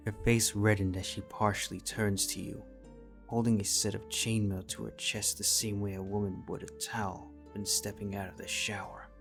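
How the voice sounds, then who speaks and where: A man narrates a scripted piece of fan fiction. His voice sits very low, and music plays clearly behind him. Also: flat delivery, normal speed.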